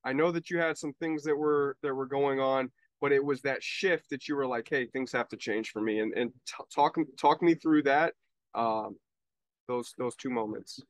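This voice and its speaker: A man's voice: medium pitch, normal speed, no echo. A man hosting a podcast interview, speaking earnestly and restarting phrases as he sets up his questions. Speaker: podcast host.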